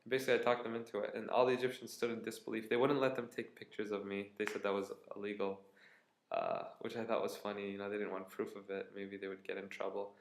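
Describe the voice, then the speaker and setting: A man's voice: fairly low pitch, fast, gravelly. Tired young man rambling in an echoey room. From United States. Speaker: vlogger. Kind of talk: casual storytelling vlog. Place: indoors at a friend's apartment.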